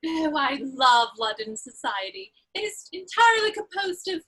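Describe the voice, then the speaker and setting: A woman's voice: high voice, sing-song, moderate pace, a bit bright, poor audio. A woman playing a pert, playful young society lady in a period stage comedy, gushing as she talks.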